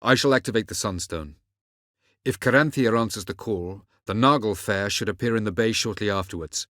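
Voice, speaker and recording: Casting: male actor for ancient elf sage, video game. Deep voice, very dry close sound, aloof, measured, archaic and condescending. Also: a bit bright, no room sound, natural voice. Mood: cool, condescending. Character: aloof, haughty ancient elven sage and mage. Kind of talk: video game character acting.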